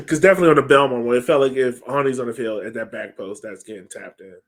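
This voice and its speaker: A man's voice: mid-range voice, fast talker. An American man in his thirties chatting casually about sports as a podcast host on a video call. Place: home room, indoors, on a video call.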